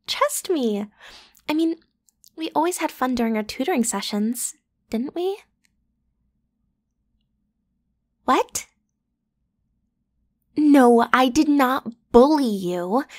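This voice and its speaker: A woman's voice: high voice, very animated, a little slow, full character voice. A woman playing a bratty, playful popular student girl in an ASMR roleplay, with casual, slangy, teasing delivery. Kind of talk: ASMR roleplay acting, one side of a conversation.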